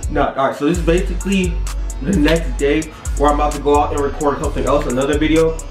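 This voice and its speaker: A man's voice: on the high side, fairly even intonation, fast, reverberant. A young American man chatting casually to camera as the host of a prank vlog, recorded indoors at home. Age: young adult. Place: indoors, plain room at home for the intro. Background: music.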